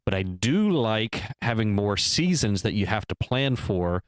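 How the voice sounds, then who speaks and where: Podcast co-host, male, hesitant and deliberate, clean resonant tone, dead-dry booth. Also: fairly low pitch, very animated, clear, resonant tone, no echo. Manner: hesitant, weighing both sides with many restarts. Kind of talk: casual discussion.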